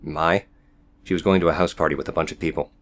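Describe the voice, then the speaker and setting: A man's voice: a bass voice, fairly even intonation, fast. A man voicing a tired, curt, sardonic teenage boy in an audiobook drama. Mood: gruff, mumbling. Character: world-weary, sardonic teenage tough boy who smokes. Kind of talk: audiobook character acting.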